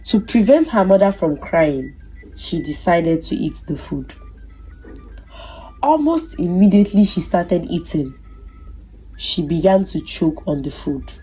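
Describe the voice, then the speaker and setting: A woman narrating a scripted folktale as a storyteller, in a measured, deliberate and dramatic way. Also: lowish voice, mellow, slightly rough, poor audio.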